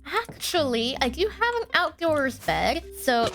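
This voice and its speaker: A woman's voice: high voice, sing-song, measured pace, a bit bright, a little hiss or hum. A young woman narrating her own block-building game session in a chatty, think-aloud way, sounding a little congested. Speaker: gaming YouTuber. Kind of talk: let's play commentary.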